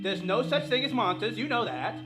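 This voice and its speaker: Woman as a animated, patronizing radio-drama husband. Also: a little high, fairly quick, over loud music. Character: patronizing, reassuring husband in 1940s melodrama. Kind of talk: old-time radio drama parody.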